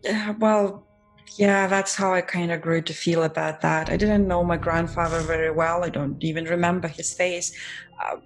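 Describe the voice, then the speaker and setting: Halting woman roleplaying over background music. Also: on the low side, steady tone, low-quality recording. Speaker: tabletop roleplaying player. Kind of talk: in-character improvised roleplay.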